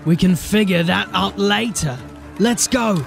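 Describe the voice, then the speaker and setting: A man playing a gruff, stubborn city watch sergeant in a fantasy audio drama, speaking bluntly. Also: high voice, measured pace, faint music behind, heavily acted. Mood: urgent. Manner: blunt, urgent.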